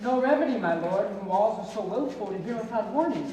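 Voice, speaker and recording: A woman acting a quick-witted courtier who delivers deferential quips in Shakespearean stage speech. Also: medium pitch, expressive, warm tone, natural voice. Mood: dry wit. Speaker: actor in a school stage play. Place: large indoor stage hall. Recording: echoey hall with faint noise.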